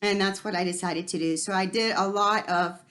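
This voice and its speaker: A woman from Guatemala tells her own story in an earnest, reflective voice of middle pitch. She pauses on ums and restarts sentences as she thinks. She sounds like a fitness founder speaking plainly to her viewers. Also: fairly even intonation, a bit bright. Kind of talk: personal story told to camera.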